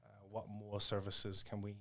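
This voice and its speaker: Low-pitched New Zealand man, informal. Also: completely flat delivery, moderate pace, bright, forceful tone. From South Auckland, New Zealand. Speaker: podcast guest, design studio co-founder. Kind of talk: casual interview talk.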